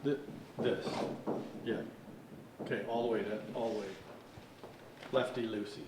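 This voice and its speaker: A man's voice: on the low side, steady tone, a little slow. A man taking part in a hands-on training session, talking casually. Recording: very echoey, distant mic, noisy room.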